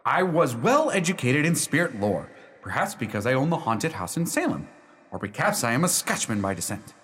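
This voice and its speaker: An actor playing a droll, unruffled lawyer in a radio play, speaking in a formal, theatrical, matter-of-fact way. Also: male voice, medium pitch, big pitch swings, normal speed, fairly bright tone. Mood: formal, self-assured. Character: droll, unruffled lawyer of Scottish descent who owns a haunted house. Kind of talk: radio play storytelling and dialogue. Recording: reverberant hall sound.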